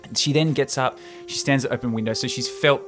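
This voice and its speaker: A man talks through a film story like an excited friend. His voice sits at an ordinary male pitch, informal and easily amused, with faint music behind him. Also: brisk. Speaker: podcast co-host and narrator. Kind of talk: casual recap of a film script with banter.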